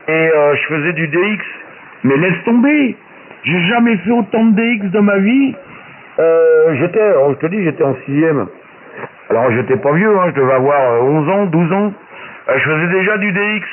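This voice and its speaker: A man's voice: on the high side, animated, moderate pace. A man chatting casually as an amateur radio operator, colloquial and boastful, repeating phrases for emphasis, his voice coming through shortwave radio. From Paris, France. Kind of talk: casual radio chat, anecdotes. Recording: very noisy narrowband shortwave radio transmission. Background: loud radio static.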